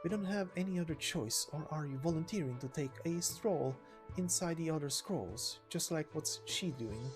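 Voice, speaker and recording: A woman performs an easygoing fighter from a game story. The talk is casual and blunt, in a slightly low voice with music clearly behind it. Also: expressive, normal speed. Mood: matter-of-fact. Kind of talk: game story character voicing.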